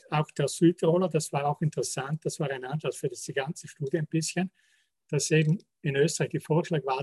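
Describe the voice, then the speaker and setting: A man, a sociologist calmly explaining survey results in a panel talk. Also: fairly high pitch, husky. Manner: measured, explanatory, citing percentages. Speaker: sociologist presenting survey research.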